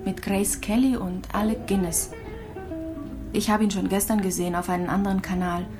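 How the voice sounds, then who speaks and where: Radio drama role: actress as dying immigrant woman, mid-pitched, wistful, resigned, halting and dreamy, music underneath. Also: level tone, lightly acted. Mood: wistful, absorbed. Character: gravely ill Kazakh-born immigrant woman, wistful and resigned. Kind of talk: radio drama dialogue and monologue.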